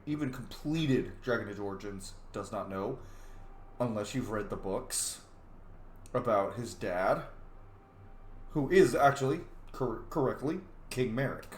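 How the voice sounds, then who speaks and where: A man hosting a let's play, commenting casually and excitedly, swearing and humming along as he plays. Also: fairly low pitch, slight background noise. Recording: echoey room. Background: game music.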